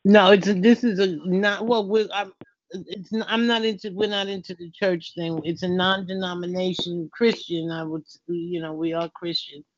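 A man's voice: sits high, fairly even intonation, moderate pace, no room sound. A man hosting a prayer community call in a casual, conversational way. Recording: dull, lo-fi call audio.

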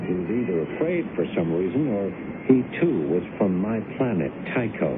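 An earnest stranger from another world in a 1970s radio play. His low voice moves widely, halting and then pressing with uneasy insistence. It comes through a narrow, noisy old broadcast. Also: very animated. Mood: suspicious. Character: earnest, secretive man from another planet living on Earth. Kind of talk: 1970s radio drama acting.

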